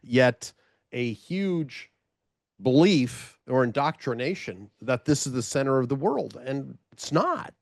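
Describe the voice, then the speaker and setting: A man's voice: medium pitch, big pitch swings, takes it slowly, creaky, dry recording. Chatty man, dry sound. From Los Angeles, USA. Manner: chatty, jokey, laughs easily, asides. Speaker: podcast host. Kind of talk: casual interview chat.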